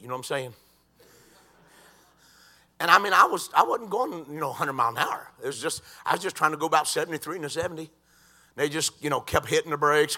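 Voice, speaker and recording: A man preaching a sermon in a church, swinging from quiet talk to shouted crescendos. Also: on the high side.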